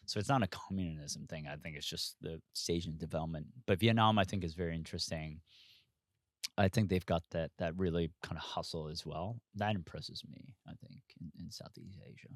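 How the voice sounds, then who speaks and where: A man from Hong Kong speaks as an investor guest in a relaxed interview. His voice sits low, and the sound is dry and close, with no room around it. He talks candidly, circling his points with asides and restarts. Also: brisk, no echo. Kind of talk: casual interview conversation.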